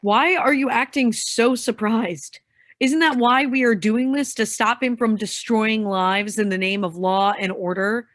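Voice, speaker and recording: A woman's voice: fairly high pitch, fairly even intonation, unhurried, no room sound, studio quality, natural voice. A woman playing a blunt, exasperated young vigilante who knows her tech, in a staged play reading. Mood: incredulous. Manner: blunt, insistent.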